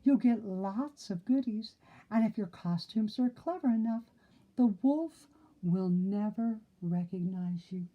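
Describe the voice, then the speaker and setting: A woman voicing a helpful, soft-spoken fairy-tale girl in a storybook. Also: mid-range voice, a little slow, highs cut off, pitched above the performer's own voice, natural voice. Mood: encouraging. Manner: soft, encouraging.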